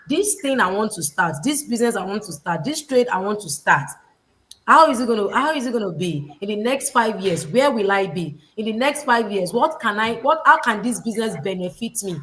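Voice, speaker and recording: A woman's voice: medium pitch, fairly bright tone, low-quality recording. Female host, motivational live-stream speaker who drives points home by repeating them. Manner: preachy, repeats key phrases for emphasis. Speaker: host of a live online business talk. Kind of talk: motivational talk on business strategy.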